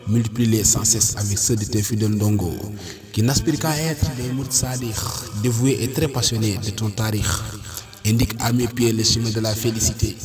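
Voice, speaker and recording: A man reciting devotional poetry as a solemn, pleading prayer, declaiming it in measured short phrases. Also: lowish voice, fairly even intonation, music in the background. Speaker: poet reciting prayer poems.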